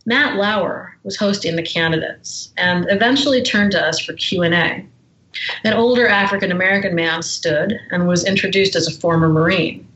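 A woman's voice: lowish voice, normal speed, a bit bright, echoey room, dull audio. A woman guest who is an author, speaking in a forthright, indignant way and reading from her memoir. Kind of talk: reading aloud from her memoir, then conversation.

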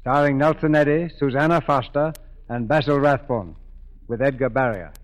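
A man's voice: mid-range voice, normal speed, rough recording. A man announcing a radio drama in a formal 1940s broadcast style.

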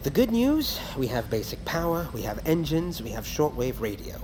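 An actor playing a stressed, defensive ship engineer who talks technically, in a naturalistic audio drama. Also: male voice, medium pitch, big pitch swings, normal speed, noisy background, natural voice. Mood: weary, explaining. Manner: technical explaining, exasperated sighs.

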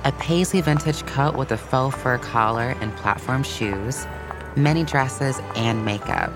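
A woman's voice: extremely low pitch, unhurried. A woman reading a scripted children's story as a narrator. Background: music.

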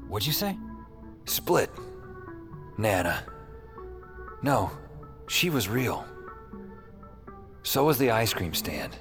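Brooding, low-voiced man, audio drama. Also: big pitch swings, drawn-out words, long pauses, a bit bright, faint music behind, heavily acted. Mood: startled, wistful. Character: shaken, wry man doubting his whole past. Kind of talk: audio drama acting with first-person narration.

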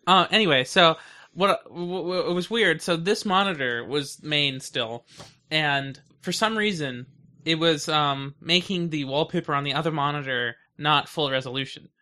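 A man with a fairly high, bright voice chats as a podcast co-host. His delivery is informal and halting, often breaking off and starting his sentences over. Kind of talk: casual podcast chat.

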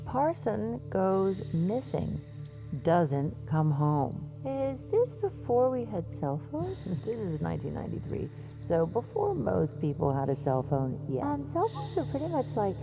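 A woman's voice: medium pitch, very animated, a little slow, creaky, muffled recording. A woman playing a chatty, flippant true crime podcast host, speaking in scripted banter and storytelling over music. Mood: storytelling, wry.

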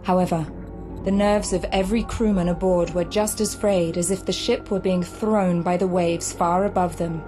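A woman's voice: fairly low pitch, fairly even intonation, moderate pace. A woman giving dramatic, scripted narration for an audio drama. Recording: loud music behind the voice. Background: loud music.

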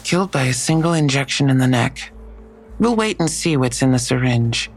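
An actress playing a skeptical police detective in an audio drama, dry and matter-of-fact, with a low, gravelly voice. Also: female voice, lively intonation, moderate pace, over music.

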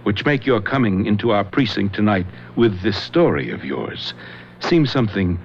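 An actor playing a shrewd police lieutenant in a radio drama, calm, probing and authoritative. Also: male voice, fairly low pitch, moderate pace. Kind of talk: 1970s radio drama acting. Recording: dull old radio broadcast recording, faint hiss.

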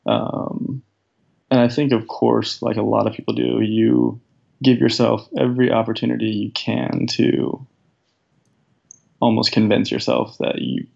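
A man in his twenties, a guest in an interview, speaking in a casual, reflective way with a deep, raspy voice. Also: level tone, measured pace, darkish tone. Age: 20s. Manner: reflective, thoughtful, many fillers. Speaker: guest, professional soccer player. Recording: dull lo-fi sound.

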